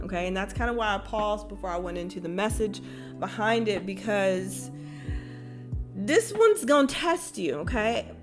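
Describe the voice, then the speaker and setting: A young woman with a light Texas accent giving a casual tarot reading, blunt and conversational, swearing freely and using slang. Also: mid-range voice. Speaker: tarot reader and spiritual channel host. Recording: guitar music playing behind.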